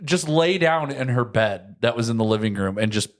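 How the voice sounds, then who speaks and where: A man's voice: mid-range voice, expressive, moderate pace. A young American man chatting casually and telling childhood stories in slangy language, acting out moments as he goes. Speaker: podcast host.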